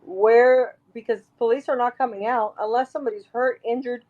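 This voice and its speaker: A woman with a raspy voice, talking emphatically as an interview guest, rambling and restarting her sentences. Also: a little high, fairly even intonation, fairly quick, phone-quality sound. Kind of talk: casual interview talk.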